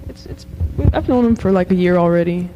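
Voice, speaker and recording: A woman's voice: fairly low pitch, quick pace, mellow, highs cut off, slight background noise. A young woman from Los Angeles, a musician guest, chatting casually with many fillers and frequent laughs. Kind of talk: casual interview chat.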